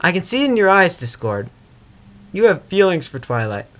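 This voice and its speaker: A man voicing a patient, earnest female pony ruler, gently firm and persuasive, in a fan fiction reading. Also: high voice, sing-song, normal speed, voice pushed up. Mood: knowing.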